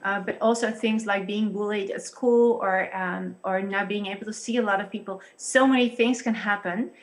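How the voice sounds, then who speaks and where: A woman in her thirties with a Dutch accent, a pastor and psychologist explaining things carefully and haltingly over a video call. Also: mid-range voice, steady tone. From Netherlands. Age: 30s.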